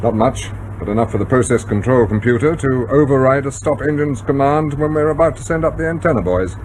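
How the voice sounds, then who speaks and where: A man acting as an exacting British naval captain in a radio drama. He speaks with clipped, formal authority at a middling pitch, issuing orders and corrections. There is some background noise on the recording. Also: fairly quick, a little mellow, close to an everyday voice. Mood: crisp, assured. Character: stern, exacting male British nuclear submarine captain.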